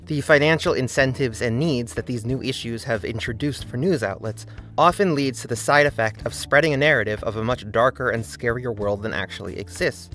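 A man's voice: mid-range voice, brisk, few pauses, fairly bright tone, soft background music. A man narrating a scripted video essay in an earnest, critical tone.

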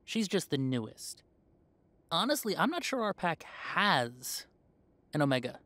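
A man's voice: fairly high pitch, very animated, unhurried, sharp tone, dry recording, heavily acted. Man playing a casual young werewolf, dry close sound. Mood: relaxed, earnest. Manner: casual, earnest, chatty. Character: easygoing young male werewolf, defensive with parents. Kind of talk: conversational scripted audio roleplay.

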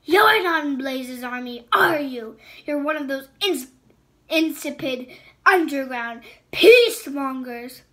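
A woman's voice: high voice, slow pace, a bit bright. A woman voicing a scheming, venomous female sand dragon queen who hisses and mocks, reading a storybook aloud. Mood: scornful. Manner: menacing, mocking, hissing taunts. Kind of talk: storybook read-aloud voice acting.

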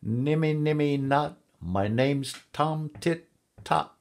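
A man plays a jovial storybook king, hearty and amused. The husky voice swings widely in pitch as he relishes every detail. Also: sing-song, measured pace, a little mellow, exaggerated voice. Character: jovial, chuckling storybook king telling of his hunt.

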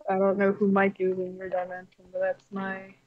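A woman's voice: medium pitch, monotone, fairly quick, narrow, tinny audio, close to an everyday voice. A woman voicing a good-hearted, reserved puppet animatronic in an improvised game roleplay, with dry, terse, unimpressed delivery.

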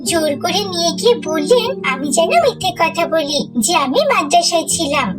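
A woman's voice: extremely high pitch, lively intonation, a little fast. A woman voicing a sly, eager young schoolgirl in a children's moral cartoon, with music behind her. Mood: defensive, fibbing.

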